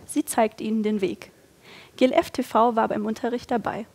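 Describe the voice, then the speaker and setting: Casting: young female news presenter, slightly high voice, clear measured delivery. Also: fairly even intonation, a little mellow.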